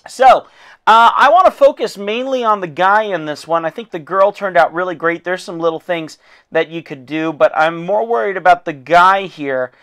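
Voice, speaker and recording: A hoarse man who has lost his voice, an art teacher critiquing a student's drawing in a casual, encouraging way with frequent fillers. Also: high-pitched, moderate pace, a bit bright. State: losing his voice.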